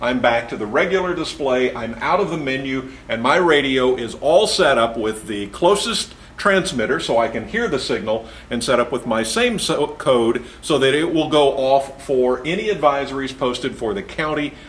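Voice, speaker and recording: A man calmly explaining, step by step and off camera, how to set up a device, with steady, methodical pacing. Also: mid-range voice, moderate pace, few pauses. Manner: patient and methodical, explains each button press. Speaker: instructor. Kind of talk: step-by-step tutorial.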